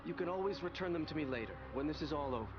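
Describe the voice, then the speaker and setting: An actor playing an earnest, grateful young hero in a fantasy game, speaking formally and from the heart. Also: male voice, fairly high pitch, animated, racing, muffled recording, noisy background, natural voice. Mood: grateful, weary. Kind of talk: video game cutscene acting. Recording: loud music behind the voice.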